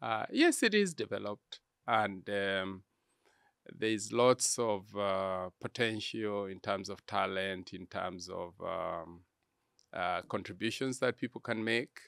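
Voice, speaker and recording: A man with a Kenyan accent answering interview questions in a measured, halting, deliberate way. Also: lowish voice, gravelly, fairly bright tone, smooth, no room sound, clean studio sound. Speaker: interview guest, church media executive. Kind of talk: interview conversation, reflective answers.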